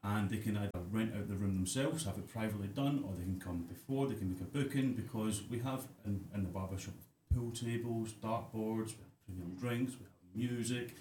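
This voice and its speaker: A man's voice: fairly low pitch, a little fast, few pauses, dark tone, roomy sound, poor audio. A Glaswegian man, a barber and radio guest, chatting casually with many fillers and repeated phrases. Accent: Glaswegian Scottish. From Glasgow, Scotland.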